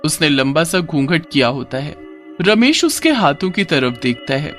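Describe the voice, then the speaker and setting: A man's voice: a little high, animated, moderate pace, a bit bright, soft background music. A man narrating a scripted, dramatic story as a storyteller.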